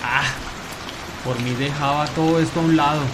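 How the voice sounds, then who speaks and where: A man's voice: on the high side, steady tone, normal speed, close to an everyday voice. A man playing an anxious, apologetic young salesman in an amateur radio play, with rain-like hiss. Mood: dismayed.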